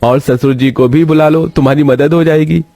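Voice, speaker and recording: Man as a supportive husband, slightly high voice. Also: fairly even intonation, normal speed, mellow, dry recording, close to an everyday voice. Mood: encouraging. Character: supportive young husband, an auto-rickshaw driver.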